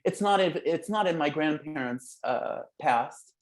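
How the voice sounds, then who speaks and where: A young adult man, an author speaking as a guest in a conversation, talking in a halting, reflective way with many fillers and restarts. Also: fairly high pitch, animated. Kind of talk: conversational talk.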